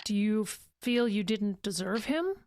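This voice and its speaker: An actress playing a composed psychologist in an audio drama, asking measured, clinical questions. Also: female voice, medium pitch, animated, a little mellow, dry recording, clean studio sound. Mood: calm, probing. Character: composed, probing female psychologist questioning a patient.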